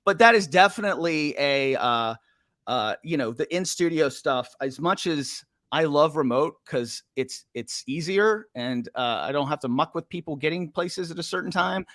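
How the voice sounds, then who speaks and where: A man's voice: on the high side, expressive, normal speed, fairly bright tone, no room sound, studio quality. A man hosting a podcast interview, chatting and putting audience questions to his guest. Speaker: podcast host.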